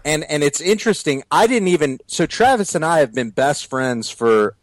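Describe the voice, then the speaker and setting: A male podcast guest who grew up in Japan talks in a friendly, halting way, often stopping and restarting. His voice sits a little high and sounds dry and close to the mic. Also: animated, no room sound. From Japan (grew up on US bases there). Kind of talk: casual podcast chat.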